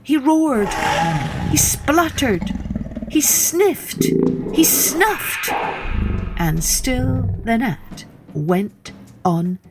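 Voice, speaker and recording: A woman narrates a children's tale at a slow, deliberate pace. She is theatrical, dropping into breathy hushes, and there is faint music in the recording. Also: high-pitched, expressive. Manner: theatrical, drops to breathy hushes, questions the listener. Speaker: storyteller, podcast host. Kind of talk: dramatic children's storytelling narration.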